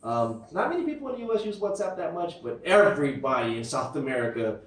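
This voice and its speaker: A man's voice: a little high, sing-song, fast, very echoey, distant mic. A man telling a travel story to camera in a chatty way, with lots of fillers and restarts. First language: English. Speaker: vlogger. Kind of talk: storytime chat.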